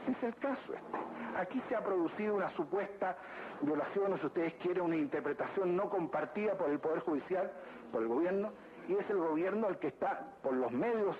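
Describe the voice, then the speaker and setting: A man presenting a formal legal argument for the state at a court hearing, deliberate and repeating phrases for emphasis. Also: on the high side. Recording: tinny lo-fi archive recording with background noise.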